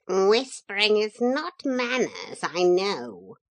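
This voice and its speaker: A woman's voice: mid-range voice, wildly swooping pitch, takes it slowly, pitched above the performer's own voice. A woman voicing a proud, courteous male parrot who talks in a polite, formal way while making cool threats.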